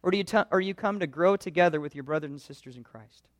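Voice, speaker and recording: A man with a fairly high voice preaches to his congregation, earnest and conversational, asking question after question. The sound is close and dry, with no room around it. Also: fast, no echo. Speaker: pastor. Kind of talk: sermon.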